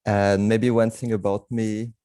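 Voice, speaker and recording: Relaxed man on a dry video call. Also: fairly low pitch, a little slow, clean tone, no echo. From France. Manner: friendly, laughs often, relaxed. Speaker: program co-host. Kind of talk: casual video call chat.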